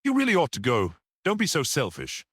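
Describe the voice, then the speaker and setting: A man's voice: on the high side, wildly swooping pitch, fairly quick, a bit bright, husky, no room sound, studio quality, voice pushed up, exaggerated voice. A man voicing a grim, coolly defiant storybook character with a curt, sinister politeness. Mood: urging. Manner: curt, impatient, urging.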